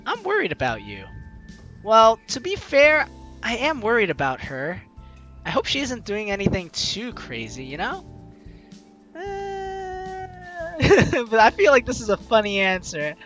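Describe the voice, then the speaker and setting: Excitable, bright-voiced young gamer with animated pitch. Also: measured pace. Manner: excitable, playful, theatrical. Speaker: let's play host. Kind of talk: casual gameplay commentary with dramatic reading of dialogue. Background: faint game music.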